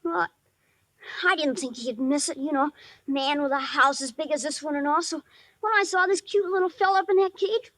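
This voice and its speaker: An actor playing a shy but tough-talking ten-year-old boy in 1950s radio drama, with halting, slangy speech. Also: high voice, a bit bright, no room sound. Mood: sheepish, guilty. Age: child figure, almost eleven. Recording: dry vintage 1950s radio recording.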